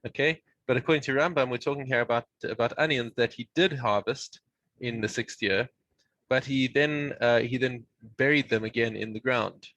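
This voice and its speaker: A man teaching a Mishnah study session over a video call, explaining in a halting way with many restarts. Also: medium pitch, a bit bright, dry recording, dull audio.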